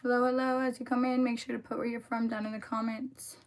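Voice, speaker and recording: A young woman with a voice hoarse from a cold, chatting casually with viewers during a livestream and filling her talk with "like" and "um". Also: on the high side, level tone, quick pace. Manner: chatty, filler-heavy, talks to viewers in the comments. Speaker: livestream host. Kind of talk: casual livestream chat while doing makeup.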